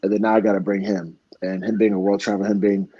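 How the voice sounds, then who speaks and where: Young guy from florida, kinda low warm voice, talks from the heart, lots of likes and ums, muffled dry sound. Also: flat delivery, quick pace, breathy edge, dry recording. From Florida, United States. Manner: earnest, heartfelt storytelling with many fillers. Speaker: MMA fighter guest. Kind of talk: casual interview chat.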